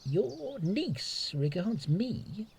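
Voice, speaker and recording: An actor playing a witty, teasing soldier lord in love, in a Shakespeare radio play, with playful, expressive delivery. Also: male voice, high voice, extremely slow, vocal fry, breathy edge, heavily acted. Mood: awkward, earnest.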